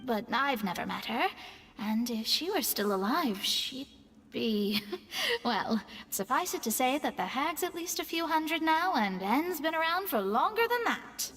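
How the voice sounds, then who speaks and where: High-voiced earnest young astrologer. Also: female voice, very animated, measured pace, fairly bright tone, in a large hall, heavily acted. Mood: wry. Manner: formal, articulate. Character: young female astrologer, earnest, proud, thoughtful. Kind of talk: video game voice acting.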